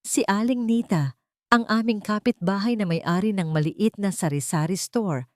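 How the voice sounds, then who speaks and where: A woman's voice: mid-range voice, moderate pace, darkish tone, no echo, studio quality. A woman reading a scripted, first-person dramatic story as its narrator.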